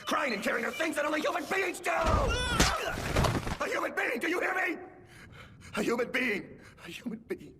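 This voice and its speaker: An actor playing an excitable, bitterly aggrieved man in an anime dub, speaking in emotional outbursts. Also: very high voice, fast, big-room sound, background noise. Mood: outraged, anguished.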